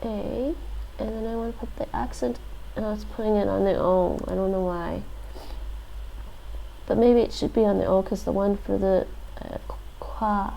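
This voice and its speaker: A woman streamer thinking aloud as she works through a lesson, hesitant, with many fillers and restarts, relaxed and self-deprecating. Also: medium pitch, normal speed, creaky, slight background noise, poor audio. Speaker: live streamer. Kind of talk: thinking aloud while doing a language app.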